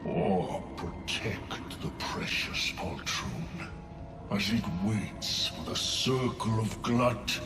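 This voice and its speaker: An actor playing an ancient, solemn and vengeful fungal sovereign in a fantasy game, speaking in grand, ceremonial phrases. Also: male voice, lowish voice, lively intonation, exaggerated voice. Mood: bitter, grave. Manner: slow, ceremonial, incantatory phrasing. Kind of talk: cinematic fantasy video game acting. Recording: roomy echo under loud music.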